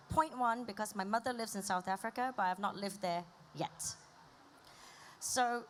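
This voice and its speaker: British-accented woman on a panel, calm explainer, bit of background noise. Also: mid-range voice. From Malaysia. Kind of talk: conference panel talk.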